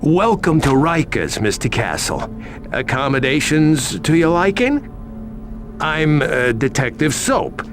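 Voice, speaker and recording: An actor playing a sardonic police detective in a video game, questioning a suspect with casual, wry sarcasm. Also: male voice, a little high, sing-song, takes it slowly, over music. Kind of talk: video game cutscene acting.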